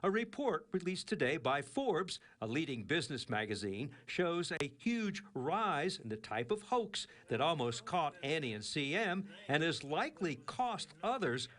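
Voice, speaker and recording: A male consumer news reporter with a fairly high voice and very lively intonation. He reads his report deliberately, stressing key phrases in a broadcast style. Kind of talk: scripted broadcast news report with interview questions.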